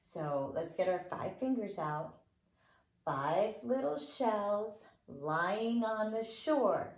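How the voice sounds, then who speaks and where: A woman's voice: mid-range voice, lively intonation, takes it slowly. A woman nursery school teacher leading children's circle time with rhymes and finger plays in a playful, encouraging, sing-song way. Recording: roomy, echoing indoor sound.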